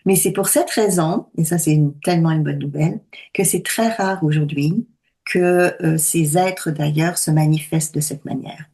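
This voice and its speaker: A woman in her sixties who works as a medium, explaining calmly and deliberately in conversational talk. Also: deep voice. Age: 60s.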